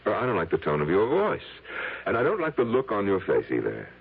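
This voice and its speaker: An actor playing an unhinged, guilty husband in a 1970s radio drama, theatrical, halting and edgy. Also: male voice, lowish voice, wildly swooping pitch, gravelly, slightly rough, exaggerated voice. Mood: defensive, sardonic. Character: unhinged husband, smug guilty murderer feigning innocence. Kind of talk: 1970s radio drama acting and confessional narration. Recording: tinny old radio broadcast with music.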